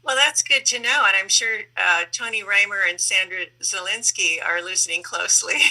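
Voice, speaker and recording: A woman's voice: medium pitch, sharp tone, low-quality recording. A woman moderating a discussion, asking questions in a courteous, encouraging conversational way. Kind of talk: conversational interviewing.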